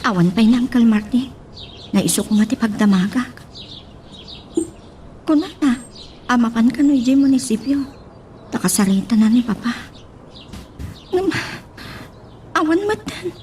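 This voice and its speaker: A woman's voice: a little high, lively intonation, a little slow, pauses often, warm tone, slight background noise. A woman playing a troubled, earnest young daughter in an Ilocano audio drama.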